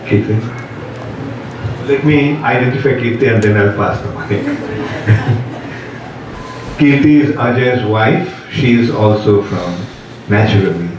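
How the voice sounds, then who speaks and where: Slow-talking man in an echoey hall. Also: mid-range voice. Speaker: spiritual teacher leading an initiation class. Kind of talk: introducing participants to a group. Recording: echoey hall, distant mic, loud crowd noise.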